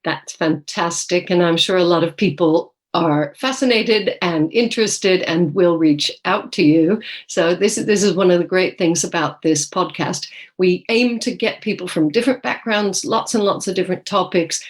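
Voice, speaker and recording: A woman's voice: on the low side, moderate pace, hardly any pauses. A woman hosting a podcast interview, upbeat and welcoming as she introduces her guest and asks questions. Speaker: podcast host.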